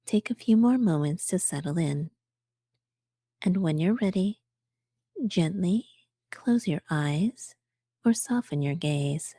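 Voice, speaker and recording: A woman narrating a guided meditation for beginners as the guide, speaking calmly and gently, in measured phrases with pauses. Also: fairly low pitch, no room sound, clean studio sound.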